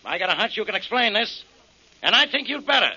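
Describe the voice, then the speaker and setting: An actor playing a gruff, sarcastic, impatient police inspector in 1940s radio style, brusque in manner. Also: male voice, high voice, big pitch swings, quick pace. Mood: exasperated. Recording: noisy dull old radio recording.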